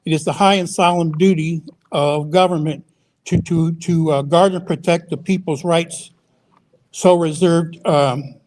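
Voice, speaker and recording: A man's voice: fairly high pitch, steady tone, a little mellow. A man giving public comment at a town hall in a school auditorium, stumbling and repeating words as he quotes the law. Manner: halting, repeats words while quoting law.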